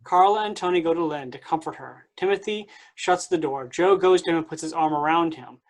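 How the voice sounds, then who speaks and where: A woman's voice: low-pitched, fairly quick, darkish tone. A woman reading aloud and hosting at a staged play reading. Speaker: stage-directions reader and moderator.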